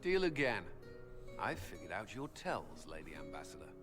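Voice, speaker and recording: Stubborn army commander in fantasy game, challenging, clear music under it. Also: male voice, sits low, sing-song, moderate pace. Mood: determined. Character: stubborn, competitive male military commander. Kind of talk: video game dialogue acting.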